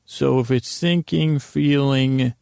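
A man with a creaky voice hosting a bedtime podcast in a meandering, halting monologue that stammers and interrupts itself with gentle humor. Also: medium pitch, fairly even intonation, no room sound, clean studio sound. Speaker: podcast host.

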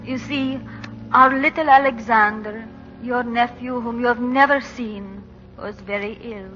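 A dull, reverberant old radio recording with music carries a grieving mother's letter. a high, solemn, measured voice, heartfelt in the manner of 1940s drama. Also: steady tone, a bit bright. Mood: sorrowful, heartfelt. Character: grieving Greek mother writing to her brother in America.

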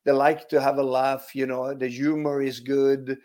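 A man with a Swedish accent talks through his football memories in an easygoing interview. He hesitates often, restarting sentences and filling pauses with "you know". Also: medium pitch, level tone, a little mellow. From Sweden. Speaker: former footballer, guest. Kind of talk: relaxed interview answers.

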